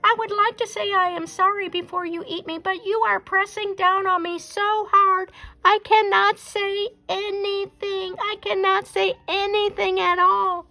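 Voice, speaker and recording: A woman voicing a cheeky little male squirrel, high and chirping. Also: little pitch movement, a little slow, gravelly, sharp tone, heavily acted. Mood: pleading.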